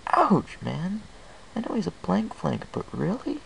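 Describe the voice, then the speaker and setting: A man does a gossipy pony workmate for an amateur audiobook. The pitch sits high, and the delivery is blunt and chatty. Noise runs under the home recording. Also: huge pitch swings, measured pace, vocal fry, full character voice, low-quality recording. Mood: blunt, teasing. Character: gossipy, blunt pony coworker at a cloud depot.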